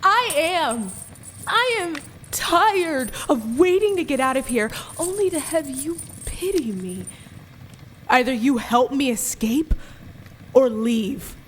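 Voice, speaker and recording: Weary captive young goddess, acted by a woman, slow and high. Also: animated, fairly bright tone, a little hiss or hum, heavily acted. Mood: angry, pleading. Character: captive young goddess Kore, weary, desperate, quietly defiant. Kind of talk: radio play acting.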